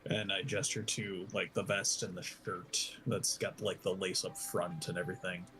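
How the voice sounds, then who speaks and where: A man telling an improvised story as a player in a tabletop roleplay game, halting and correcting himself as he goes. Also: low voice, level tone, background noise.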